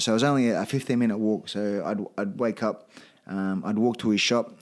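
A middle-aged man with an Australian accent, telling personal anecdotes as a guest in a hesitant, modest, casual way. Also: lowish voice. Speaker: podcast guest, veteran fighter.

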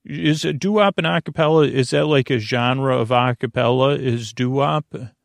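A man delivers a rambling sleep-podcast monologue in a mid-range voice full of creak. His manner is lulling and hesitant, looping through tangents and half-finished phrases. The recording is very dry, with no room sound. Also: medium pitch, no echo. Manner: lulling, soothing, meandering and halting.

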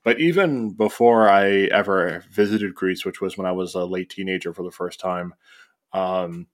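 A man co-hosting a film podcast, talking in a measured, thoughtful way with frequent pauses and ums. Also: deep voice. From born in South Africa, raised in Canada. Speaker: podcast co-host. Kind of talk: casual film discussion.

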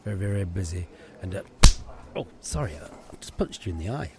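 A man's voice: medium pitch, wildly swooping pitch, brisk. A man playing a meek, stammering coffee shop worker in an improvised comedy sketch, repetitive and over-apologetic but prone to sweary rage.

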